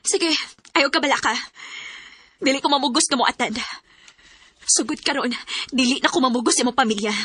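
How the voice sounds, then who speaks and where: An actress playing an emotional young wife slighted by her in-laws in a radio drama, expressive and plaintive. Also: high-pitched, a little fast, long pauses, breathy edge, full character voice. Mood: hurt, indignant.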